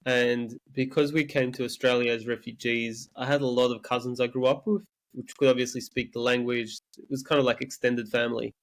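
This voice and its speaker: A young man from Serbia, whose first language is Serbian, speaking as an interview guest. He tells personal stories in a measured, candid way, with occasional stammering restarts. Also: mid-range voice, flat delivery. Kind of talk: reflective personal storytelling.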